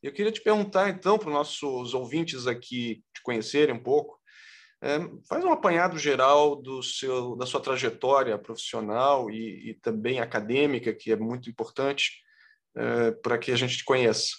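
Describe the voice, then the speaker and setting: A man, a consul-general hosting an interview, polite and measured with hesitant fillers. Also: mid-range voice.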